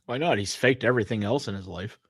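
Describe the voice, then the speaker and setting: A man's voice: fairly low pitch, fast, husky, dry recording, studio quality. A man co-hosting a podcast in casual banter, sardonic and free with profanity. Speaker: podcast co-host.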